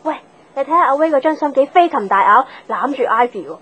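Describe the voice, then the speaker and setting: An actress playing a nosy, catty young office gossip in a radio drama, snappy in manner. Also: female voice, high-pitched, animated, fast talker, a bit bright. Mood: catty, indignant. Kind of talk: Cantonese radio drama dialogue. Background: background music.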